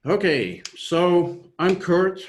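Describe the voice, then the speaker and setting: A man from Flanders with a Flemish accent, whose first language is Dutch, giving a measured, explanatory online conference presentation over a video call. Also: sits high, lively intonation, a little hiss or hum. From Flanders, Belgium. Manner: measured, explanatory, trails off with "et cetera". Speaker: software company founder presenting.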